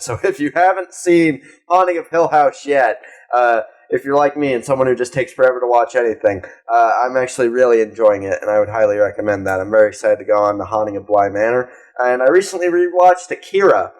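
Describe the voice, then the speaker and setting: Young male podcast co-host, laid-back and rambling, casual swearing, mid-pitched voice. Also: fast. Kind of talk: casual chat.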